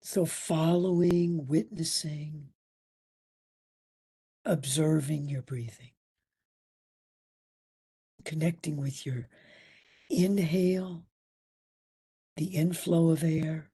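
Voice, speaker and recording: Female meditation instructor, lowish mellow voice, breathy, soothing, deliberate pauses. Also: steady tone. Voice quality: breathy, at times near a whisper. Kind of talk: guided meditation.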